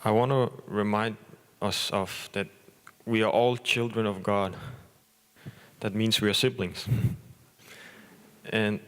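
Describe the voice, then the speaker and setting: A man's voice: on the low side, fairly even intonation, takes it slowly, a bit bright, clean tone. A man making an earnest inspirational remark. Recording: long hall echo.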